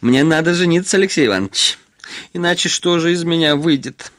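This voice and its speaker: An actor playing an obsequious, needling, self-abasing husband in a Russian radio drama, halting and wheedling with hissed deferential endings. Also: male voice, on the high side, a bit bright, no room sound, studio quality, close to an everyday voice. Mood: pleading, feverish.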